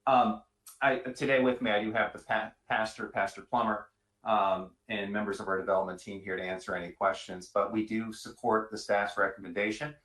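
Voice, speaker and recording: A man with a very deep but forceful voice addresses a public hearing as an attorney. He is courteous and halting in his phrasing. The room sounds echoey around him. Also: level tone. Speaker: attorney representing an applicant. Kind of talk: semi-formal public hearing remarks. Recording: roomy, echoing meeting room sound.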